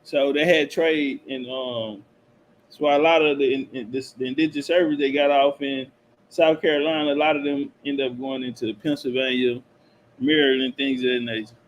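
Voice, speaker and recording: A man's voice: mid-range voice, steady tone, normal speed, poor audio. A man hosting a livestream with casual, streetwise, slangy commentary. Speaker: livestream host commenting on a video. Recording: clear background noise and ambience.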